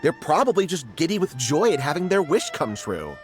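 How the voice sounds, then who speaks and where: Animated, earnest struggling writer. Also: male voice, a little high, fairly quick, loud music behind the voice. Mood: eager. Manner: earnest, pleading, dramatic. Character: earnest, struggling male writer, hopeful and pleading. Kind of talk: anime game voice acting.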